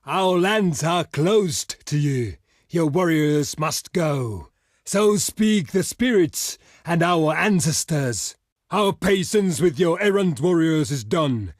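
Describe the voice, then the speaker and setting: An actor playing a proud, stern barbarian envoy in a video game, speaking in a solemn, formal and declamatory way. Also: male voice, a little high, lively intonation, slow, dry recording, studio quality. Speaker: diplomat character in a strategy game. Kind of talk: theatrical video game voice lines.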